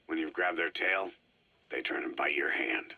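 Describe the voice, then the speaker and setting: An actor playing a grizzled, brooding legendary soldier in a video game, speaking tersely in a gravelly growl on a cassette tape. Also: male voice, rumbling low, normal speed, sharp tone, heavily acted. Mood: bitter, brooding. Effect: cassette tape filter. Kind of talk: scripted dramatic game dialogue.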